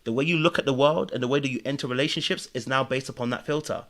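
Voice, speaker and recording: A man's voice: mid-range voice, fast, a bit bright. A man giving earnest, preachy relationship advice as a guest on a podcast chat. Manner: earnest, preachy, speaks in lessons.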